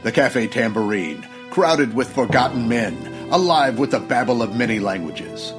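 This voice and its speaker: A man's voice: medium pitch, expressive, normal speed. A man giving a dramatic, formal scripted introduction in the style of an old-time radio announcer. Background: brassy music.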